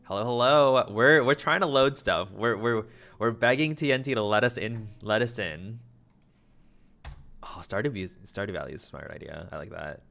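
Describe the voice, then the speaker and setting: A young man with a somewhat low voice chats his way through a game stream. His delivery is flat and low-energy, drifting into jokey, self-deprecating asides. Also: moderate pace, vocal fry. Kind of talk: casual livestream chat.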